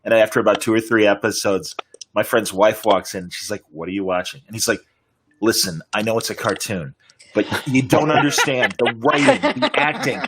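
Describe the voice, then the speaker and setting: A man's voice: fairly low pitch, expressive, fairly quick, background noise. A man moderating a panel in casual interview chat, talking like an enthusiastic fan. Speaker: panel moderator, podcast host.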